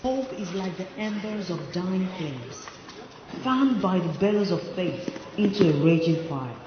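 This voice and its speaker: A man playing a solemn, poetic orator on stage, with grandiose, measured phrasing. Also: high-pitched, animated. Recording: echoey hall, dull audio, noisy.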